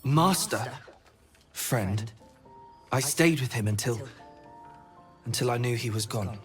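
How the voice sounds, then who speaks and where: A man's voice: medium pitch, slow, faint music behind, natural voice. An actor playing a loyal, wistful male dog in a video game, speaking simply and earnestly.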